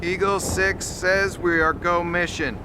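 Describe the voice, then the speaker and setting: An actor playing a dutiful junior military aide to a commander in a video game drama. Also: male voice, high voice, steady tone, unhurried, noisy background. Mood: dutiful, formal.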